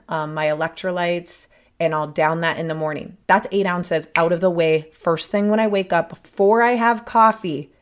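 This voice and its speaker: Woman host, 30s, raspy and a little hoarse from a cold, lowish voice, chatty and self-interrupting solo podcast delivery. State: sick, a bit hoarse. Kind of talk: casual solo podcast talk.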